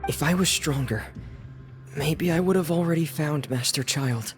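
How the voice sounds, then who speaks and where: A woman voicing a gentle, weakened, apologetic teenage boy, soft-spoken and hesitant, in a game dub. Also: low voice, normal speed, over music, close to an everyday voice. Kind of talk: English game dub acting.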